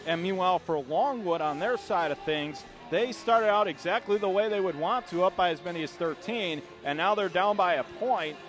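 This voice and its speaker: A man with a high-pitched voice works as a radio play-by-play announcer for college basketball. He speaks from inside an arena, with clear sound going on behind him. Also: moderate pace, hardly any pauses, over music. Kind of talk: live basketball play-by-play and halftime commentary.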